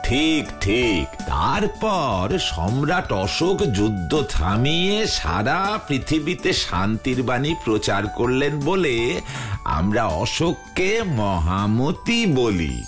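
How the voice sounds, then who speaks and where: A man's voice: mid-range voice, very animated, takes it slowly. An actor playing a kind, patient grandfather in a cartoon who tells children stories, over background music. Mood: explaining. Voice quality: gentle, patient, affectionate storytelling. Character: kind old male grandfather storyteller, former sailor. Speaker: grandfather figure telling stories. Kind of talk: Bengali cartoon dubbing. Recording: music underneath.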